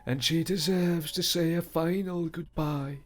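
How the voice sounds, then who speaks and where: A man's voice: fairly high pitch, steady tone, measured pace, soft background music, pitched above the performer's own voice, lightly acted. A man reading the lines of a stern, ruthless middle-aged male village priest in a storybook, in a commanding tone that drags out emphatic words.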